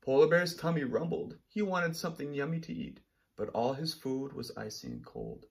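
Animated man reading a storybook to children. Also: medium pitch, moderate pace, creaky. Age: 30s. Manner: animated, encouraging, addresses young children directly. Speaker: teacher reading aloud to young children. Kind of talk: children's storybook read-aloud.

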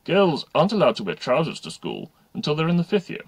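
A man voicing a stern male games teacher, sarcastic, sharp and condescending. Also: fairly high pitch, huge pitch swings, fast, slightly rough, no room sound, pitched above the performer's own voice, full character voice.

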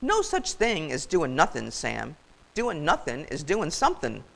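Woman as scolding mother-hen type goose, loud, squawky, honking, swooping pitch, brusque, storybook animal. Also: wildly swooping pitch, unhurried, gravelly, full character voice, poor audio. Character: bossy, scolding big female goose.

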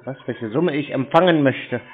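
A man of medium pitch talks casually through a narrow, tinny telephone connection. His answers are short and offhand, with a deliberately obtuse, play-dumb manner. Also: faint music behind. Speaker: prank call recipient playing along. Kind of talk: casual phone conversation. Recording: narrow, tinny phone line.

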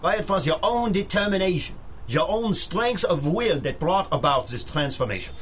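Proud encouraging doctor, radio drama, formal, lively intonation, faint background noise. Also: male voice, sits high, normal speed. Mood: proud, effusive. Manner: grandiloquent, formal. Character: proud, encouraging male asylum doctor, earnest. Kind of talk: 1930s radio drama acting.

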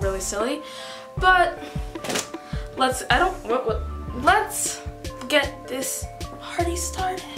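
High-voiced teen girl rambling. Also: reverberant. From United States, East Coast. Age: teenager, 15. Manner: rambling and upbeat, many "like" and "whatever" fillers. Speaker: vlogger on a shared channel. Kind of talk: casual get-to-know-me chat to camera. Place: small bedroom indoors. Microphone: camera mic at close range.

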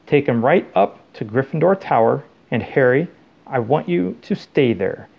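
A man portrays an elderly, authoritative wizard headmaster in a fantasy storybook. He speaks formally and firmly, with a gravelly grain. The recording is slightly dull. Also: mid-range voice, animated, normal speed. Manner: formal, firm. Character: commanding, calm, authoritative old wizard headmaster.

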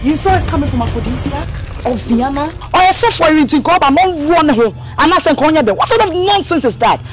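High, expressive Ghanaian woman in melodrama. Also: fairly quick, music in the background. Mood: indignant. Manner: outspoken, scolding, emphatic. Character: feisty, indignant woman defending her blackmailed friend. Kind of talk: screen melodrama acting.

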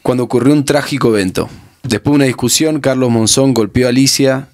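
A man in his thirties with an Argentine accent, a podcast guest telling a story in a relaxed, casual way with many restarts. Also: medium pitch, fast. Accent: Argentine Spanish. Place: small padded podcast studio. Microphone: studio mic on boom arm.

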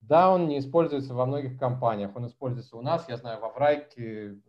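A man's voice: medium pitch, fairly quick. A man in his forties answering questions at a tech meetup over a video call from a small room at home, talking casually and animatedly. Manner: animated, gestures a lot, chatty and informal. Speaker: conference speaker answering questions. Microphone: laptop webcam mic on video call. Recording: slightly dull video call audio.

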